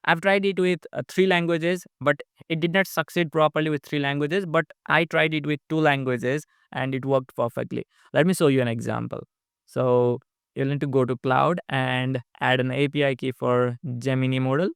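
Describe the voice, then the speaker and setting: A young man explains a software update in a plain, matter-of-fact way. His voice is smooth and clean, with gravelly fry at the ends of phrases. The sound is close and dry. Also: mid-range voice, level tone, moderate pace, no room sound. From Nepal. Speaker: app developer. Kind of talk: casual screen-recorded product update. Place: indoors, small room.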